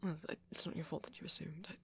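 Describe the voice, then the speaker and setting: A man playing a shy, apologetic young vampire in an ASMR roleplay, with a posh British accent and a hesitant, stammering, soft-spoken way of talking. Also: fairly high pitch, fairly even intonation, very fast, vocal fry, slightly rough, exaggerated voice. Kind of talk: intimate ASMR roleplay audio.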